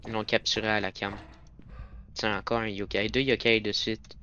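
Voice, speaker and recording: Québécois male streamer, chatty play-by-play, bright voice, faint background noise. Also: fairly low pitch, flat delivery, normal speed. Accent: Québécois French. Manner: chatty, muttering to himself while playing. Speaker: streamer playing a ghost-hunting game. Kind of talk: casual gameplay commentary. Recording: slightly dull recording with faint noise.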